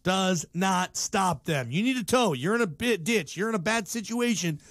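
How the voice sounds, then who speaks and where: A man's voice: high-pitched, animated, moderate pace, fairly bright tone, no echo, studio quality. An American man hosting a sports talk radio show, giving opinions in a casual, slangy, emphatic conversational way.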